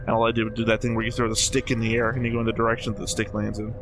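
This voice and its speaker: A man's voice: fairly low pitch, monotone, rapid-fire. A man commenting casually on a show as a reaction host, in a wry, joking way.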